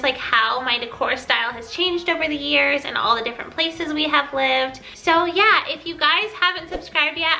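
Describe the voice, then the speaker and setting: A woman's voice: high-pitched, bright, forceful tone, low-quality recording. A woman hosting a casual home decor vlog, chatting in an upbeat way with a nasal, congested-sounding voice. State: congested from being sick. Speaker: video host, home decor vlogger.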